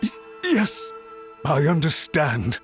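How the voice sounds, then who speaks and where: An actor playing a meek, cowed servant in a video game, hesitant and deferential, with a husky, breathy voice. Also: male voice, fairly high pitch, big pitch swings, slow pace. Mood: nervous, submissive. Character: meek, cowed male servant of a noble clan. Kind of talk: scripted video game voice acting. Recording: music behind the voice.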